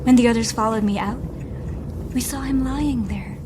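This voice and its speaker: An actress with an Irish accent playing a guilt-ridden, weary and defiant woman, halting and intense, in an audio drama. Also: a little high, background noise, lightly acted. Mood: anguished.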